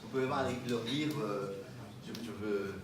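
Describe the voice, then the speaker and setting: A man giving a public talk and answering audience questions, lively and joking, with frequent laughs. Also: lowish voice, moderate pace. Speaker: pastafarian minister giving a talk. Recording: echoey hall with noise, mic far off.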